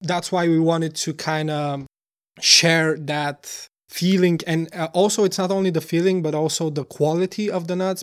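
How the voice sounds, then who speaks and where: A man, a startup co-founder answering interview questions earnestly, often hunting for words. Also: fairly high pitch. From Bulgaria. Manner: earnest, searching for words, many restarts.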